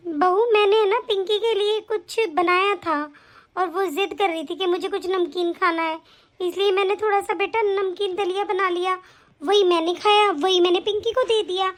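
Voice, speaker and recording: A woman's voice: very high-pitched, fairly even intonation, normal speed. A woman playing a fretful old grandmother. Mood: gentle. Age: older. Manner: gentle, fretful. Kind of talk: comic family skit acting.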